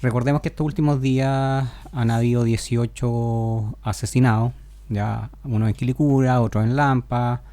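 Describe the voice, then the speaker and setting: A man, a businessman speaking as a panelist on a radio show, measured and sober. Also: medium pitch, steady tone, dark tone, dry recording. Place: radio studio.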